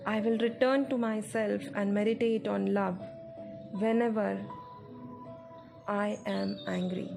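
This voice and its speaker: Woman, meditation guide, very slow measured delivery, scripted, faint music behind. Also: a little high, vocal fry, darkish tone. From India. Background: background music.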